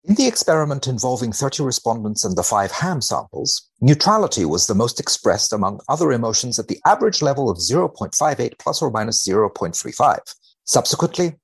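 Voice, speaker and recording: A man speaking as a podcast guest in a measured, deadpan way with dry humour, both chatting and reading research aloud. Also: mid-range voice, slightly rough. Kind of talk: wry conversation and dramatic reading of a research paper.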